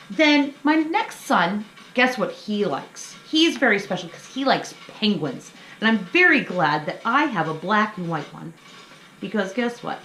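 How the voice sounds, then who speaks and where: A woman's voice: mid-range voice, fairly bright tone. A middle-aged woman hosting a children's craft lesson, explaining things simply and encouragingly to young kids. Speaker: children's story time host. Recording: echoey room with faint background noise.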